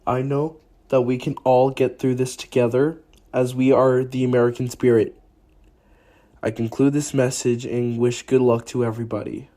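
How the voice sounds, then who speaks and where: A man playing a solemn, halting president giving an address to the nation. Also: medium pitch, level tone, warm tone, close to an everyday voice. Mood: solemn, reassuring. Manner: slow, halting, with heavy pauses.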